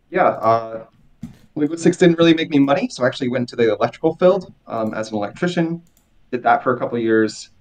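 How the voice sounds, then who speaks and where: A man's voice: mid-range voice, a little mellow. A man from the United States introducing himself on a video call, friendly with some fillers. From Pacific Northwest, United States. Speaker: newcomer, aspiring developer.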